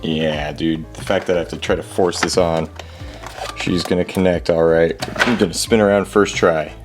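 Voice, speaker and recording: A man's voice: low-pitched, steady tone, normal speed, music in the background. A man narrating a hands-on repair vlog casually from his garage, with a jokey, sarcastic manner and a habit of talking to objects. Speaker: host of a hobby repair video. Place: home garage.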